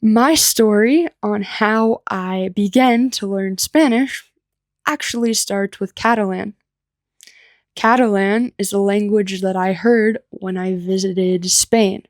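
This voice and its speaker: Slow-paced American woman, dry close sound. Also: mid-range voice, dry recording. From United States. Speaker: English teacher. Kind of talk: slow, simple personal storytelling for language learners.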